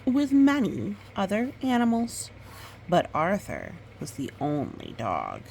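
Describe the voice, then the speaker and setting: A woman reading a picture book aloud in storytime style, with expressive, drawn-out dramatic phrasing and chatty asides. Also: mid-range voice, creaky, a little hiss or hum, low-quality recording.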